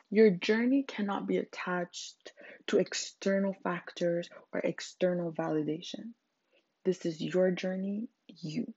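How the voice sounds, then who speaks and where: Zimbabwean woman with a low, husky voice. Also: dark tone, no echo, dull audio. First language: not English. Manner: earnest and emphatic, frequent fillers. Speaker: podcast host. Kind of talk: solo podcast monologue.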